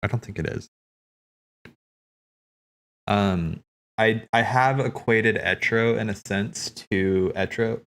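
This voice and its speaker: Man, low voice, casual streamer commentary, rambling, musing, off the cuff. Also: takes it slowly, pauses often, fairly bright tone. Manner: rambling, musing, thinking aloud. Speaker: streamer hosting a cutscene watch party.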